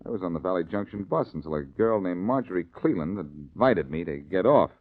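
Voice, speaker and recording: Low-voiced, wisecracking 1940s radio detective. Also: male voice, expressive, fairly quick. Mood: cool, probing. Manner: glib, sardonic. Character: wisecracking, unflappable male amateur detective. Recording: dull, lo-fi old radio recording.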